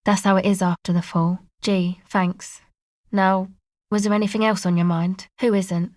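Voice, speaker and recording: A cool, guarded young woman from a video game, played by an actress, talks with casual, slangy understatement. Her voice sits at an average female pitch and is laid-back and dry in delivery. The recording is close and free of room sound. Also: level tone, normal speed, a little mellow, no echo, natural voice. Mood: matter-of-fact, resigned. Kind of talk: video game dialogue acting.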